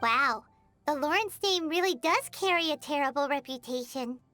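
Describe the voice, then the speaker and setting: A woman voicing a small, chirpy, well-meaning childlike fairy companion in a video game, with a squeaky voice. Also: expressive, normal speed, bright, forceful tone, soft background music. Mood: surprised. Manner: speaks of self in third person.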